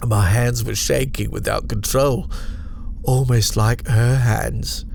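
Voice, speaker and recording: A man reading a first-person horror story as a shaken, guilt-ridden survivor haltingly confessing what haunts him. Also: fairly low pitch, vocal fry, slight background noise. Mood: uneasy, haunted.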